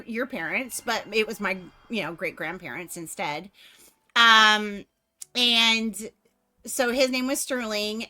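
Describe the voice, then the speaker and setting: Bubbly chatty woman with a bright voice. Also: medium pitch. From United States. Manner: chatty, giggly, lots of "like" and "you know". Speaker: stream host. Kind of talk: casual chat with a guest.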